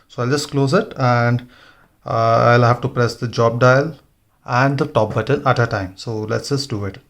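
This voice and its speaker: A man's voice: mid-range voice, fairly even intonation, normal speed, creaky. A man giving an informal hands-on tutorial, thinking aloud as he works. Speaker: motovlogger demonstrating gear installation. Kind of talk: casual hands-on tutorial narration.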